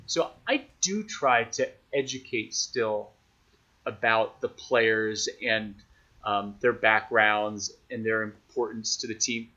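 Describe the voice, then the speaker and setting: A man speaking as a radio announcer guest, giving thoughtful, measured answers in a casual conversational interview. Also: on the low side, bright, forceful tone, dull audio.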